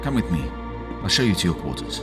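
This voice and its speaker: An actor playing a steady, protective military captain, measured and reassuring, in a science fiction audio drama. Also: male voice, low-pitched. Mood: calm, wry. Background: music.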